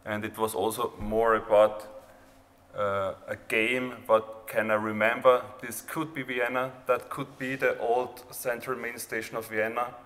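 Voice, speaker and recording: A man with an Austrian accent, a native German speaker, giving an informal, halting presentation of his own art project. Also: mid-range voice, flat delivery, fairly bright tone. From rural Austria near the Hungarian border. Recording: reverberant hall, background noise.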